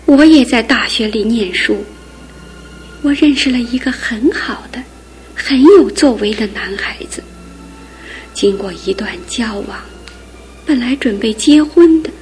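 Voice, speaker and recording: An actress playing a middle-aged aunt in a radio drama, speaking softly and often in a hushed whisper. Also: female voice, on the high side. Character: aging aunt, gentle, grieving an old love.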